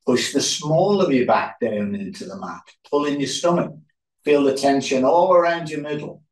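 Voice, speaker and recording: A man leading a yoga relaxation as instructor, speaking calmly in short, unhurried phrases with pauses. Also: a little high. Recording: roomy echo, distant microphone.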